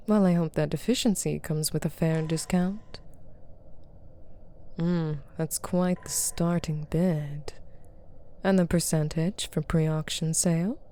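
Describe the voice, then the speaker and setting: Woman playing a slow, haughty demon buyer. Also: deep voice, mellow, a little hiss or hum. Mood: cool, businesslike. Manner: stern, authoritative, condescending. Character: haughty, shrewd female demon buyer at a private auction. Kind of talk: scripted ASMR roleplay, addressing unheard partners.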